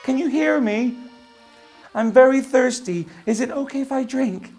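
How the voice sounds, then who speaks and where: A woman doing a tiny high-pitched ugly duckling. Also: a little slow, mellow, faint music behind. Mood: timid hopeful. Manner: tearful, timid pleading. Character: small sad male ugly duckling, lonely and polite.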